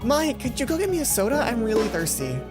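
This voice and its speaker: Host acting shy game heroine, teenage girl, lifted pitch, hesitant and questioning, music underneath. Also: very animated, fast, lightly acted. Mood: prodding. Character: shy, puzzled, easily flustered teenage schoolgirl heroine.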